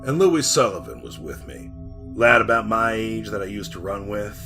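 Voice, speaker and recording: An actor playing a folksy mine guide with a dark tale, in the style of 1940s radio drama. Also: male voice, on the low side, animated, normal speed, music in the background. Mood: brooding, confiding. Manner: folksy, colloquial storytelling. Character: mine guide recalling a dreadful past, brooding and cocky.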